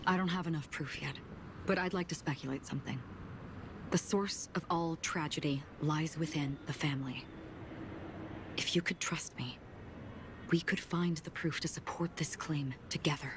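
An actress playing a calm, enigmatic swordswoman in a game, speaking in a composed, deliberate and solemn way. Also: deep voice, noisy background, natural voice. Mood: earnest, calm. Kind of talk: scripted game dialogue. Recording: game dialogue over background music.

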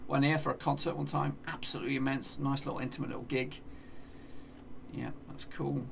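English streamer, 30s, gravelly fry, mic set back with noise behind, self-muttering casual game commentary. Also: male voice, mid-range voice, level tone, brisk, recorded from a distance. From England. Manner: mutters place names to himself, swears playfully. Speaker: gaming streamer. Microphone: desk mic, seen in webcam corner.

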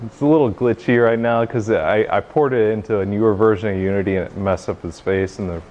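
A young man giving an informal technical demo to a room, speaking haltingly with frequent pauses. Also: lowish voice, a little mellow. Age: young adult. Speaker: presenter giving a software demo. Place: meeting room, standing by projection screen. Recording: noisy, muffled room recording.

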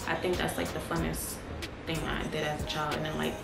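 A woman's voice: low-pitched, little pitch movement, brisk, gravelly. A young woman from Haiti and Harlem, chatting loosely on camera with lots of slang and fillers. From Haiti, then Harlem, New York. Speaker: YouTuber. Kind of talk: casual get-to-know-me chat. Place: living room, seated on a sofa. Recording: echoey room with loud music behind.

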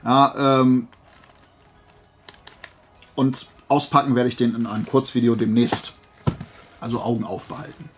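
A man's voice: mid-range voice, fairly even intonation, unhurried, pauses often, faint music behind. A man chatting casually and playfully to camera as a vlogger, rambling through asides. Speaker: YouTuber showing flea market finds.

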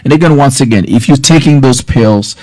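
A man's voice: medium pitch, fairly quick, dark tone, no room sound, poor audio. Earnest man giving health advice, dry sound. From Haiti. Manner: earnest, direct, advising viewers, loose filler words. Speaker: health care provider hosting a live stream. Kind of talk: casual live-stream health advice. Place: small office, indoors. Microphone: studio mic on boom arm, front camera close-up.

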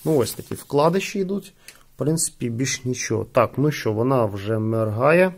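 A man talks through an unboxing in a casual, unscripted way. His voice has a lot of fry in it, and he goes haltingly, thinking aloud. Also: medium pitch, lively intonation. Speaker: product reviewer.

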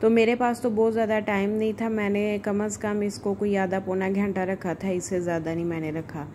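A woman home cook talks through a recipe in a casual, chatty way. Her voice is soft-edged and mellow at a medium pitch, and it stays fairly flat. There is noticeable noise behind the voice-over. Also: brisk. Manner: informal, chatty, homely asides. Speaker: home cook, cooking channel host. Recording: voice-over with clear background noise.

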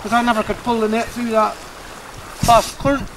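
A man's voice: extremely high pitch, flat delivery, moderate pace. A man with a Northern Irish accent chatting casually while fishing outdoors, repeating his phrases as he goes. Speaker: angler. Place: outdoors on a riverbank. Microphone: camcorder mic. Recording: noisy outdoor camcorder, running water.

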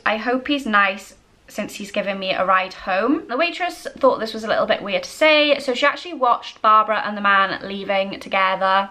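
A woman's voice: fairly high pitch, pushed and bright. A woman in her twenties hosting a true-crime vlog, telling the story to camera in a conversational, matter-of-fact way. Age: 20s. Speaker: host. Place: small indoor home room.

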